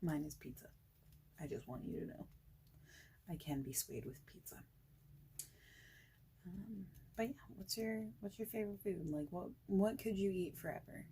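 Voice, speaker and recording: A woman doing a soft-spoken ASMR interview roleplay, with a breathy voice that often slips into whispering and a casual, hesitant, chatty delivery. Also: mid-range voice, animated, moderate pace, pauses often, slight background noise.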